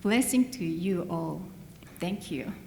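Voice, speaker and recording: A woman's voice: fairly low pitch, animated, slow, creaky, background noise. A woman, an adult English learner whose first language is not English, giving an earnest graduation speech with small grammar slips. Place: large hall. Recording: echoey hall with long reverb.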